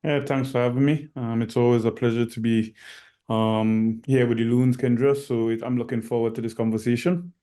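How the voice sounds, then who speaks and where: Man, middle-aged, from Trinidad and Tobago, soccer coach, interview guest, measured, pausing often, steady and flat. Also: medium pitch. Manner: measured, frequent "um" pauses. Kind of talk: casual interview answers.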